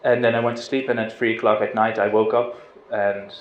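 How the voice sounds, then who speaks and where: Low-voiced man, roomy lo-fi sound. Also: a little fast. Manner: relaxed, hesitant, with restarts and soft laughs. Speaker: spiritual teacher. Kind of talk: informal satsang talk. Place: outdoors on a river boat. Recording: roomy, dull lo-fi sound with faint noise.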